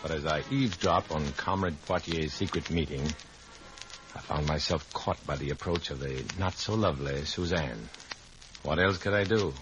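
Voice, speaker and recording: An actor playing a tough, wry undercover informant, terse and hard-boiled, in a 1950s radio drama. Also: male voice, a bass voice, normal speed, music in the background. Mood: tense narration. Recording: faintly noisy vintage radio recording.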